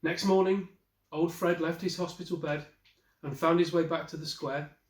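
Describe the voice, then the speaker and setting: Echoey, higher-pitched man reading a storybook. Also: normal speed. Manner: expressive storyteller with brief asides to listeners. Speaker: teacher reading a picture book aloud. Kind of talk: storybook read-aloud. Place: small wallpapered room indoors. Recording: roomy, echoey indoor recording.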